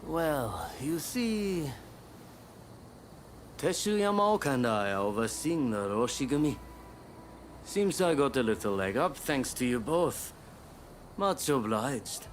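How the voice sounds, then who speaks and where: An actor playing a genial but harried samurai overseer, polite and a little hesitant, in a game cutscene. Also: male voice, medium pitch, expressive, takes it slowly, pauses often, a little hiss or hum. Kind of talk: scripted game cutscene acting.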